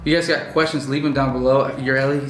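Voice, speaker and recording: A man's voice: mid-range voice, level tone, fast, a bit bright, reverberant, faint music behind. A man in his twenties hosting a casual business vlog, talking loosely with many fillers and restarts. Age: 20s. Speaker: vlog host and business owner. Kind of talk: casual chat. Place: indoors at night.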